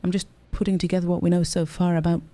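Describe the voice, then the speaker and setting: An actor playing an earnest, analytical investigator who explains things methodically, in an audio drama. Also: male voice, high-pitched, little pitch movement, fast talker, mellow, dry recording, close to an everyday voice. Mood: earnest, puzzling.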